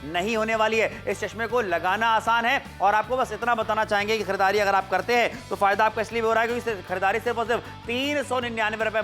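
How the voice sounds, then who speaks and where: A man presenting on a home shopping channel, in a rapid, persuasive sales patter. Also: high-pitched, sharp tone. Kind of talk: live TV sales pitch. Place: TV shopping studio.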